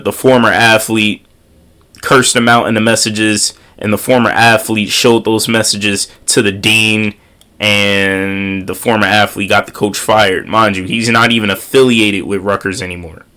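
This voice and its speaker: A man's voice: on the low side, bright, forceful tone. A young man with a congested voice from a slight cold speaks to his viewers outdoors. He gives earnest, slangy motivational advice and repeats his key lines for emphasis. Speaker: motivational vlogger. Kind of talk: casual motivational talk to viewers. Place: outdoors on a windy day.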